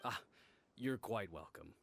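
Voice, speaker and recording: An actor playing a helpful, dutiful village guard in a video game, thoughtful and obliging. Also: male voice, lowish voice, animated, a little slow, fairly bright tone. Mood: friendly, obliging.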